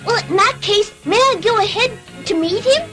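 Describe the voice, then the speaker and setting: A woman's voice: very high voice, animated, moderate pace, music in the background. A woman voicing an eager, naive little puppet boy in a cartoon, childlike and effusive. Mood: joyful, eager. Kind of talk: dubbed cartoon acting.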